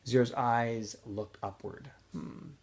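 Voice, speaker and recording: A male teacher reads a novel aloud to his students, relaxed and unpolished, with the odd slip and filler. His pitch rises and falls in a lively way as he narrates. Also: normal speed. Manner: relaxed reading with small slips and fillers.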